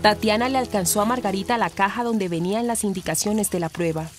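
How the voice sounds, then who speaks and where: A Colombian woman narrates a scripted radio serial. Her voice sits at a medium pitch, with music playing clearly behind it. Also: fairly quick.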